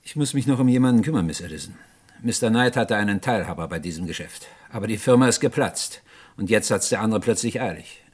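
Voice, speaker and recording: An actor playing an unhurried, matter-of-fact police inspector who gives calm, clipped orders in a radio crime drama. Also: male voice, fairly low pitch, husky. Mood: sober, reproachful. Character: unhurried male police inspector, calmly in command.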